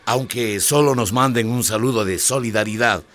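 A man's voice: medium pitch, moderate pace, a bit bright, lightly acted. An actor playing an earnest, persuasive male village schoolteacher with a Latin American Spanish accent in a radio drama. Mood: eager, persuasive. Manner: explanatory, persuasive.

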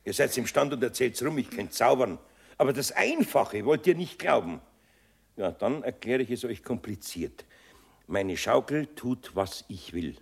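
Gravelly older Bavarian craftsman. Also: mid-range voice, expressive, unhurried, exaggerated voice. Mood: exasperated, bluffing. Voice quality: gravelly, husky. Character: kindly, wily older male master craftsman, gruff but good-natured. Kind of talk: 1970s children's radio play acting.

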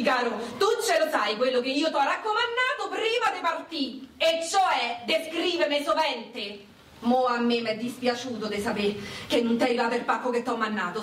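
An actress playing an anxious, plain-spoken working-class wartime wife with a Roman accent, speaking a letter in a radio drama. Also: female voice, sits high, a bit bright, roomy sound, recorded from a distance. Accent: central Italian, Roman. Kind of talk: radio drama letter monologue and folk protest song.

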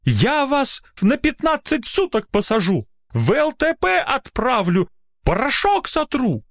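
A man's voice: high-pitched, big pitch swings, moderate pace, dry recording, studio quality, voice pushed up. A man voicing an indignant, blustering, officious doctor in a narrated story, easily flustered and outraged. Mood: furious shouting. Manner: officious, blustering, self-justifying.

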